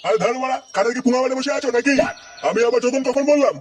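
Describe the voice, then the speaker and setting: A man voicing a foul-mouthed, bossy village man in a comedy cartoon, gruff and scolding. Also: very high voice, racing, very noisy. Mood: irritated, scolding. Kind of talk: exaggerated cartoon dubbing.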